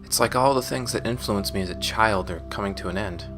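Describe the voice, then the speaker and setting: A deep, subdued voice from a man acting as a weary former gang member and recovering addict. The delivery is measured and reflective, like a private confession in a sci-fi audio drama. Music plays clearly underneath. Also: natural voice. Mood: numb, reflective.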